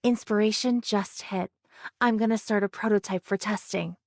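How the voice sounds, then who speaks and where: A woman's voice: mid-range voice, steady tone, normal speed, darkish tone, dry recording, clean studio sound, close to an everyday voice. A woman voicing a confident, inventive young spaceship engineer in an anime game. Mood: confident, inspired. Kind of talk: English anime game voice acting.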